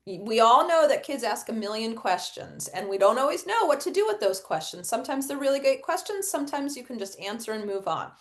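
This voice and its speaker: A middle-aged woman presents an educational webinar in a fairly high voice. Her pitch moves in a lively, expressive way as she explains her points, often asking a question and then answering it. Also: a little fast, hardly any pauses. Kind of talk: instructional webinar presentation with acted read-aloud examples.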